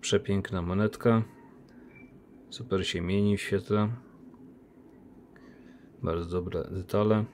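A man unboxing silver coins, talking casually on a phone recording with a relaxed, chatty manner. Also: sits low, slow pace, long pauses, slight background noise. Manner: relaxed, chatty, with many diminutives and fillers. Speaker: coin collector unboxing silver. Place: indoor room by a window. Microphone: phone camera.

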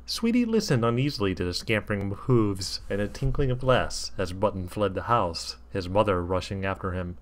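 A man narrates a comic story in a fairly low voice. His intonation swings widely, with a wry, mock-earnest tone throughout. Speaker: fan fiction reader.

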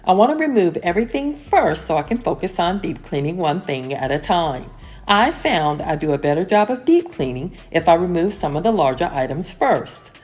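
Calm woman narrating a cleaning routine. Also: on the low side, moderate pace. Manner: calm, explanatory, step-by-step. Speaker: home cleaning vlogger. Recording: voice-over with soft music behind.

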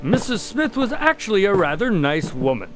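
An actor playing an earnest, slightly puzzled doctor sidekick in a radio drama, plainspoken, polite and a bit hesitant. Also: male voice, high voice, very animated, moderate pace, music in the background. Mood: mildly bemused. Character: earnest, mildly puzzled male doctor, detective's practical sidekick.

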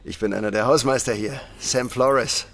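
A man's voice: medium pitch, fairly even intonation, moderate pace, gravelly, a bit bright. An actor playing a chatty but wary male janitor in a German audio drama, with a hesitant, folksy manner. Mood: surprised, helpful.

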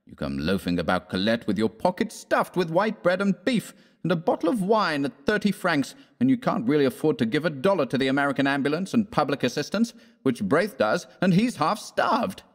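A man's voice: lowish voice, moderate pace, natural voice. Man acting a sneering, combative young American, echoing. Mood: scornful anger. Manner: forceful, sneering, growling threats. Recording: long reverberant room echo.

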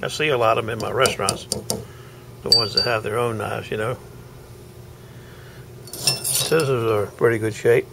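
A man's voice: lowish voice, fairly even intonation, normal speed, gravelly, long pauses, heavy background noise. A middle-aged man, chatting folksily in a casual video diary from his car and home, recorded on a handheld camera. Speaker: knife sharpener vlogger.

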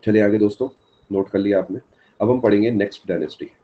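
Hoarse deep-voiced man teaching live. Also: fast talker, highs cut off. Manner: answers live chat by name, mixes English terms. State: sore throat, unwell. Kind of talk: live online exam-prep lecture.

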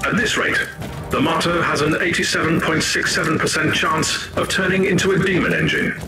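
Casting: male actor as calculating sci-fi tech priest, higher-pitched, clinical, echoing voice with loud game music. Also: steady tone, brisk, close to an everyday voice. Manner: clinical, precise, matter-of-fact. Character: coldly calculating tech priest reciting precise odds. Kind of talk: video game character acting.

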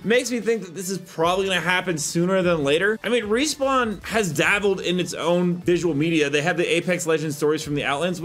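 Young adult male, higher-pitched voice, conversational gaming news narrator with chatty asides. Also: a bit bright. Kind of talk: casual scripted news commentary over gameplay.

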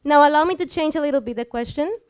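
A woman's voice: high voice, fast. A woman in her twenties with a Mexican accent gives an explanatory science talk to an audience, informally engaging them with questions. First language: Spanish. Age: 20s. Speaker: student speaker giving a public science talk. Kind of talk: live presentation to an audience, explanatory. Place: small lecture room with seated audience. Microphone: headset.

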